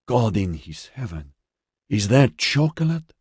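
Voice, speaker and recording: A man voicing a gruff, cheery, weathered woman in her sixties with a northern Mediterranean accent in an audiobook. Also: fairly low pitch, big pitch swings, unhurried, darkish tone, no echo, studio quality, voice pushed up, exaggerated voice. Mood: hearty, welcoming.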